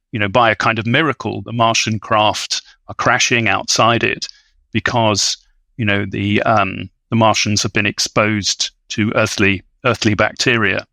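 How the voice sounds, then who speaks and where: A man's voice: on the low side, sharp tone, no room sound. A man on a discussion podcast speaking analytically, with an articulate, scholarly manner and bookish vocabulary. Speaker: podcast panelist.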